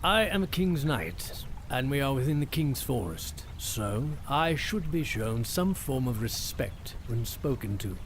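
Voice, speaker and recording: A man's voice: medium pitch, sing-song, unhurried, few pauses, a little hiss or hum. A young man playing an earnest, courteous royal knight in a fantasy audio drama, speaking formally. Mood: insistent. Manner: formal, polite, stately.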